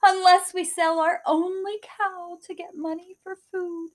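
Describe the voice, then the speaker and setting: A woman voicing a frantic, despairing poor mother, high and wailing. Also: level tone, measured pace.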